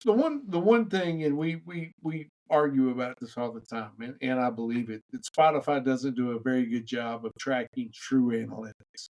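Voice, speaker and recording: A man's voice: mid-range voice, normal speed, few pauses, a little mellow, poor audio. A man co-hosting a podcast, chatting casually with his co-hosts and introducing a guest, often stumbling over and repeating words. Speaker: podcast co-host. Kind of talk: casual podcast banter and interviewing.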